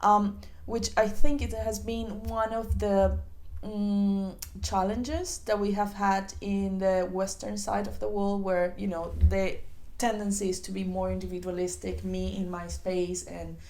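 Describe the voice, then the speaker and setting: Woman podcast co-host with a creaky voice. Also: mid-range voice, little pitch movement, measured pace, few pauses, a little mellow. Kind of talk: conversational podcast discussion.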